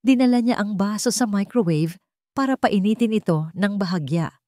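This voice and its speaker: A woman's voice: medium pitch, lively intonation, normal speed, warm tone, dry recording, studio quality. A woman giving a scripted, dramatic narration of a sentimental love story.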